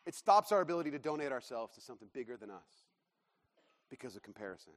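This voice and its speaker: A man preaching a sermon as a pastor, enthusiastic and quick to break into laughter. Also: mid-range voice, big pitch swings. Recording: long reverb of a large hall.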